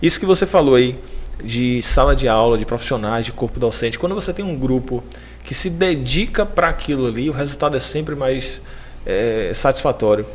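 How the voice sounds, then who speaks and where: A man's voice: mid-range voice, animated, moderate pace. A man in his thirties with a Bahian Brazilian accent, hosting a podcast in a casual conversational style. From Salvador, Bahia, Brazil. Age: 30s. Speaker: podcast host. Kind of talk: casual interview chat.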